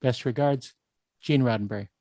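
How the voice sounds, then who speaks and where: A man's voice: mid-range voice, normal speed, creaky, mellow, no echo, clean studio sound. A man co-hosting a podcast, casually discussing film and reading documents aloud. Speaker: podcast co-host.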